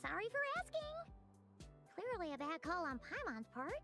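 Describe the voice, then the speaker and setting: A woman voicing a tiny, chatty female fairy companion in a video game, squeaky and childlike with an indignant edge. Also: huge pitch swings, normal speed, sharp tone, faint music behind, heavily acted. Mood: huffy.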